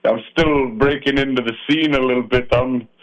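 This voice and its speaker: A man's voice: mid-range voice, steady tone, normal speed. A man from northern Minnesota, a polka musician chatting casually in a radio interview, folksy and self-deprecating. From Iron Range, northern Minnesota. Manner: folksy, joking and self-deprecating, trailing off with fillers. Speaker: polka musician and accordion player. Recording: dull AM radio broadcast sound.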